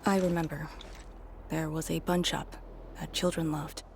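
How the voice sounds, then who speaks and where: A woman voicing a grave, resolute veteran leader in a video game, speaking in a measured, solemn way. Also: low voice, flat delivery, gravelly, darkish tone, a little hiss or hum. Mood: wistful. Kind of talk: scripted video game dialogue.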